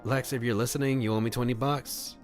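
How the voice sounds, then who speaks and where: A man's voice: mid-range voice, fairly even intonation, fairly quick, pitched above the performer's own voice, lightly acted. A man voicing an eager, starstruck male mothman fan in a visual novel. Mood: excited. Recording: music behind the voice.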